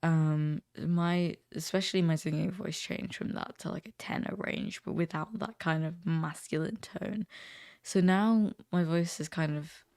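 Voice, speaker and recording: A teenage man chats casually in a recently deepened voice with a gravelly crackle. His tone is dark and relaxed, recorded dry and close. He rambles a little and interrupts himself as he goes. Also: a little slow, no room sound. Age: teens. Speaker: vlogger. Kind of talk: casual chat to camera.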